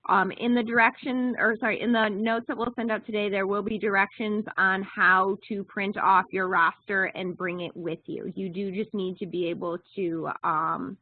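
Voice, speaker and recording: A woman's voice: mid-range voice, little pitch movement, moderate pace, few pauses, no echo. A woman leads an informal briefing on a conference call. Her voice comes through a thin, narrow telephone line with very little room sound around it.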